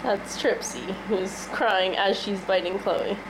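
A woman's voice: mid-range voice, steady tone, slow pace, heavy background noise. Congested woman vlogging with a cold. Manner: chatty, rambling, laughs often. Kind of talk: casual food diary vlog. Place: home kitchen and living room. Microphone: handheld camera at arm's length.

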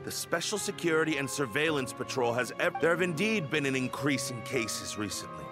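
Guy doing gruffly protective game guard captain, blunt official talk, average pitch, music playing. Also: animated, fairly quick, close to an everyday voice. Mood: stern. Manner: official, matter-of-fact. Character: blunt, stern male security patrol captain, gruffly protective. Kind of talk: video game voice acting.

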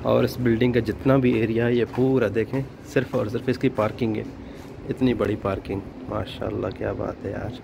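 A man's voice: lowish voice, flat delivery, a little fast, creaky. A man giving casual, informal vlog commentary on the sights around him, often exclaiming in admiration. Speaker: vlogger and delivery driver. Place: in a moving car and outdoors. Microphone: phone held in hand, no external mic. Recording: loud traffic and outdoor noise, no microphone.

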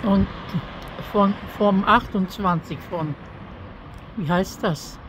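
Older woman, halting testimony outdoors. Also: medium pitch. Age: older, over 63. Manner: halting, searching for words. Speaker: interviewee giving a faith testimony. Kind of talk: spontaneous personal testimony with prayer. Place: outdoors by an apartment entrance on a street. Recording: outdoor street noise.

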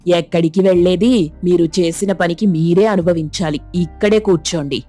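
A woman's voice: on the low side, normal speed, warm tone, faint music behind, lightly acted. A woman voicing an exasperated middle-aged mother-in-law who scolds and complains in a dramatized cartoon story. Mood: vindictive scolding.

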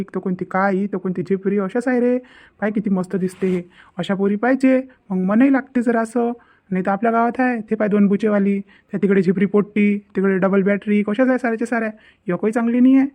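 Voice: medium pitch, fairly even intonation, fast talker, a little mellow, close to an everyday voice. A performer voicing a cheeky, grumbling young village lad in a comedy cartoon, with a Vidarbha Marathi accent and a habit of repeating himself. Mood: cheeky, smitten. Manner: muttering complaints, repeating himself. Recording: muffled, phone-like lo-fi sound.

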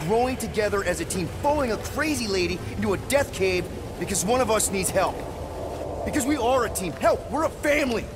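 High-pitched young cocky space outlaw. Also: male voice, very noisy. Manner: rambling, emphatic. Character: young male space-outlaw leader, cocky, rambling, earnest. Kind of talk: video game voice acting. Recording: loud game music behind.

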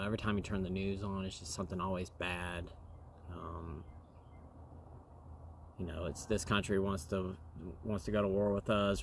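A man in his thirties, slightly hoarse from dust, rambling earnestly to the camera outdoors with many fillers and clearing his throat. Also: low voice, slight background noise. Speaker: vlogger. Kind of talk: casual talk to camera. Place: outdoors in a wooded yard. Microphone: camera in front at close range.

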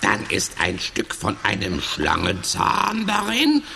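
A man playing a mischievous, childish grumpy creature in a children's audio drama, with exaggerated, drawn-out delivery. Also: fairly low pitch, huge pitch swings, vocal fry, sharp tone, a little hiss or hum, heavily acted. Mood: gleeful. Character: mischievous, childish grumpy male goblin-like cartoon troublemaker.

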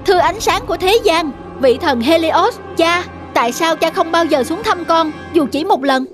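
A woman's voice: extremely high pitch, normal speed, music in the background. A woman voicing a headstrong teenage demigod son in a cartoon, earnest, insistent and theatrical. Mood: earnest, questioning. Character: headstrong eighteen-year-old demigod son, boastful and eager. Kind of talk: dubbed mythological cartoon acting.

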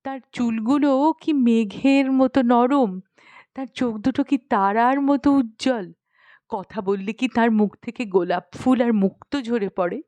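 A woman's voice: fairly high pitch, a little slow, mellow, dry recording, natural voice. A woman voicing a haughty, spoiled young princess in a storybook, asking questions in a huffy, indignant manner. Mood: eager curiosity. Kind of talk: dramatised storybook reading.

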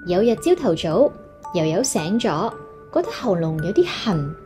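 A woman's voice: mid-range voice, very animated, a little slow, over music. A woman with a Hong Kong Cantonese accent reading a children's story aloud expressively, as if to young listeners.

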